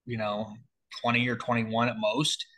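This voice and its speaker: Late 20s guy casually explaining story ideas, says like a lot, average pitch. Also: flat delivery, normal speed, pushed and bright, poor audio. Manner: explanatory, frequent filler "like". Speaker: writer collaborating on a story. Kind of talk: casual creative discussion.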